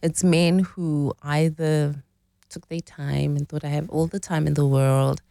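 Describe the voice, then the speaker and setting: A woman's voice: low voice, level tone, measured pace, gravelly, warm tone, no room sound, studio quality. A woman in her forties, explaining relationship dynamics as a guest in a conversational interview, often checking in with "right?". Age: 40s.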